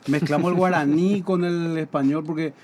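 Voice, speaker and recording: Paraguayan host, slightly high voice, eager and fast questions. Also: male voice, steady tone, a little mellow. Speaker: radio host. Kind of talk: casual radio interview.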